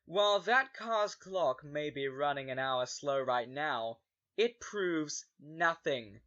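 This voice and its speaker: A man's voice: fairly high pitch, expressive, slow, dull audio, exaggerated voice. A man playing a hostile, cocky murder suspect on a witness stand in a courtroom audio drama, sneering and coming unhinged. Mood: smug. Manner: sneering, stammering under pressure, shouting.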